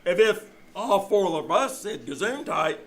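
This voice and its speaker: An actor playing a stern, suspicious school official, insistent and authoritative, in old-time radio comedy style. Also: male voice, high-pitched, lively intonation, unhurried, a bit bright, full character voice. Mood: insistent, probing. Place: live on a small theater stage.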